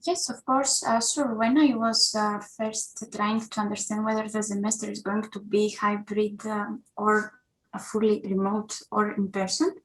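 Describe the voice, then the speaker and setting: A young adult woman speaks as a student panelist in a medium-pitched voice. Her delivery is earnest and formal, with carefully prepared phrasing, as if presenting advice to newcomers. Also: level tone, moderate pace, poor audio. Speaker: international university student, panelist.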